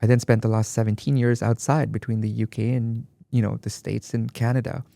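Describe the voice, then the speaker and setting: A man's voice: mid-range voice, flat delivery, brisk, gravelly, mellow, no echo. A man from Dubai, guest on an interview podcast, speaking reflectively and with emphasis, often repeating phrases. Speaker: guest artist. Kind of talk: conversational interview.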